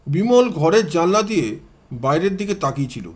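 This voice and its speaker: A man's voice: fairly high pitch, big pitch swings, normal speed. A man reading a scripted story aloud as a dramatic audio narrator.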